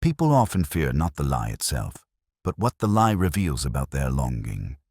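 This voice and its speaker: A man narrating a scripted first-person detective story as a brooding, reflective investigator, in a measured, literary manner. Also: rumbling low, sing-song, a little mellow, breathy edge, no room sound, clean studio sound. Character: melancholy, reflective male police detective telling his own case.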